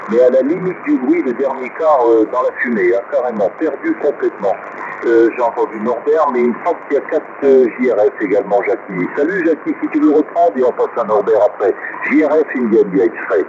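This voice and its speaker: A man's voice: mid-range voice, animated, brisk. A man talking casually as an amateur radio operator, giving signal reports to other operators. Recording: noisy, muffled shortwave radio reception. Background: loud radio static and hiss.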